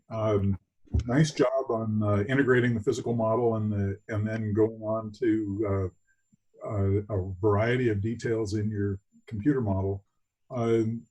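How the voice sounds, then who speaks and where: Husky, mellow man giving feedback. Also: on the low side, poor audio. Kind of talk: unscripted feedback.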